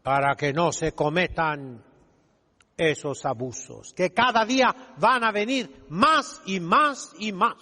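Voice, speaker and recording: A man's voice: sits high, lively intonation, slow, sharp tone. A man preaching a sermon as a priest, emphatic and didactic, putting rhetorical questions to his listeners. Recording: long reverberant echo of a large hall.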